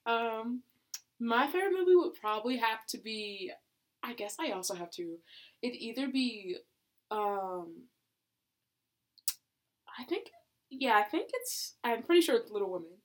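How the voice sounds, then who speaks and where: A woman's voice: fairly high pitch, lively intonation, measured pace, pauses often. An eighteen-year-old girl from Georgia in the United States, co-hosting a casual podcast and talking with lots of hesitant fillers. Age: teens. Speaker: podcast co-host. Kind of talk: casual chat.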